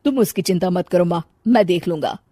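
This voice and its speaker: A woman's voice: on the low side, brisk, dark tone, dry recording, clean studio sound, natural voice. Woman acting a confident, supportive young husband. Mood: reassuring. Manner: confident, encouraging. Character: supportive, reassuring young adult husband and son. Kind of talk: cartoon moral-story acting.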